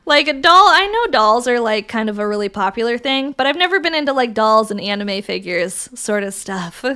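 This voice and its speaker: A woman livestreamer chatting casually with viewers, reading their messages aloud, swearing freely and laughing often, her voice raspy from allergies. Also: on the high side, very animated, normal speed, sharp tone.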